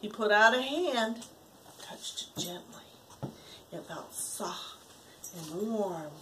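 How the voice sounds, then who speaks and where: Older grandma reading a kids book out loud, slow and dramatic, really swoopy voice, some background noise. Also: female voice, medium pitch. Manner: dramatic, with gasps, hushed passages and questions to listeners. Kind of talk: expressive children's storybook reading. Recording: home recording with steady background noise.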